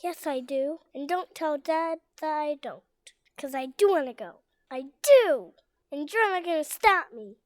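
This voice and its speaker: A small boy acted by a woman in a radio soap drama, with a high-pitched childlike voice. He is anxious underneath but insists stubbornly that he is brave. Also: animated, a little slow. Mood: defiant.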